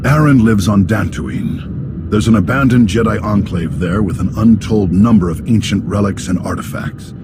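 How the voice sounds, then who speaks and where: An actor playing a composed senior Jedi master in a video game, measured, formal and courteous. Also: male voice, on the low side, heavy background noise, lightly acted. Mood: grave, urgent. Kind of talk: scripted video game voice acting. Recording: loud music under the voice.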